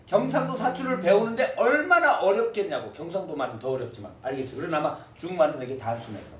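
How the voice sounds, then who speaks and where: A man teaching a class, with a lively manner, repeating words for students to echo. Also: fairly high pitch, fast talker. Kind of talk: classroom lecture. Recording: echoey room from a distance.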